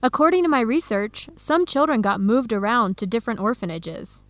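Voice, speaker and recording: A young woman playing an earnest researcher searching for her birth family in an educational radio drama, speaking clearly and conversationally. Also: fairly high pitch, lively intonation, moderate pace, fairly bright tone, dry recording. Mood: excited, matter-of-fact. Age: young adult.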